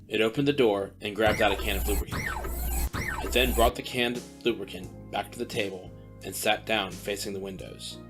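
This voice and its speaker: A man narrating a story in a plain, even storytelling manner. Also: fairly low pitch, normal speed. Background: guitar music.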